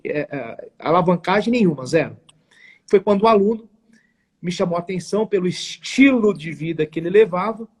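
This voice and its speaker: A man's voice: sits high, breathy edge. A man in his forties from São Paulo with a São Paulo accent, telling his story as an interview guest in an earnest, emphatic way with formal phrasing and frequent restarts. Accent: São Paulo Portuguese. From São Paulo, Brazil. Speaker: guest, network marketing entrepreneur.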